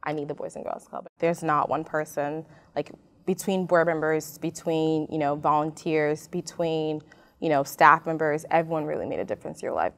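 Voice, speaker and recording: A young woman from Martinique, whose first language is French, talks earnestly about her past. Her voice sits low with a gravelly fry. She speaks into a lapel mic on a studio stage. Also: flat delivery, moderate pace. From raised in Martinique. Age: young adult. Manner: earnest, reflective, many fillers. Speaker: club alumna panelist. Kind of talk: panel interview.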